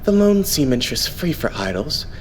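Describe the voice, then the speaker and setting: A man plays an earnest, devout young idol leading his unit. His intonation moves around a lot as he politely lays out plans with steady optimism, in anime dub style over faint noise. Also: on the high side. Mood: earnest, serious. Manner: polite, explanatory, measured phrasing. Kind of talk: anime game fan dub acting.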